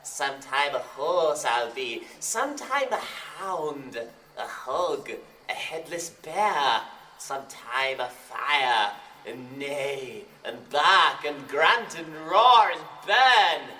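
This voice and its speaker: A man's voice: fairly high pitch, big pitch swings, slow pace, bright, forceful tone, echoey room, recorded from a distance, slight background noise, heavily acted. A man playing a gleeful, mischievous forest sprite in a Shakespearean audio drama, with a swooping theatrical delivery. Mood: wild glee.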